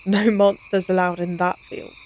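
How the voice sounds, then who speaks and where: A woman's voice: lowish voice, flat delivery, moderate pace, darkish tone, close to an everyday voice. A woman playing a playful, cocky, science-obsessed vampire in an intimate audio roleplay, speaking in a casual, teasing, wry way. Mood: enthusiastic.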